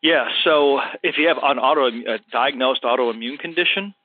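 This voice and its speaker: A man's voice: medium pitch, normal speed, no room sound. A man hosting a health show, answering a caller in a casual, thoughtful way with many fillers. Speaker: health practitioner and show host. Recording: dry, phone-like narrow sound.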